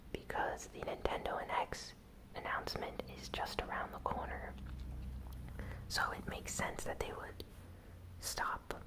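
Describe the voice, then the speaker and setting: Whispered ASMR gaming news host. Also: rough recording.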